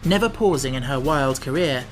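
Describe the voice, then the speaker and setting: Deep-voiced woman narrating a storybook. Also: lively intonation, moderate pace, faint music behind, low-quality recording. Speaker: audiobook narrator.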